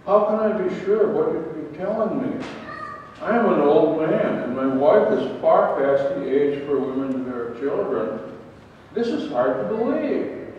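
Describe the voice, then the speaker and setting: A man's voice: on the high side, expressive, measured pace. An older man playing an old priest and husband in a church scripture play. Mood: doubtful. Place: large church, standing at front. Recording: echoey church from far off, dull, faint noise.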